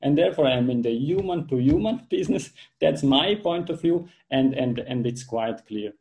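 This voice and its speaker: A man's voice: mid-range voice, lively intonation, normal speed, darkish tone. A man in his thirties from southern Switzerland, with an Italian accent, talking earnestly as an interview guest from his home office over a video call. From Ticino, southern Switzerland. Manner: earnest, with frequent restarts and fillers. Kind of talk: casual interview talk.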